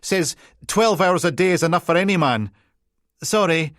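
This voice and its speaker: A man voicing a brusque young female police constable with a Peterhead Scottish accent, loud and flustered, stumbling over titles. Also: fairly low pitch, lively intonation, normal speed, no echo, studio quality, voice pushed up, natural voice.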